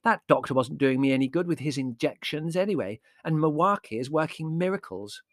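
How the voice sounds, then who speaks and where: A man's voice: fairly high pitch, expressive, a little fast, no room sound, studio quality, voice pushed up, close to an everyday voice. A man voicing an earnest, gullible teenage girl with a dramatic, indignant way of talking. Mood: insistent.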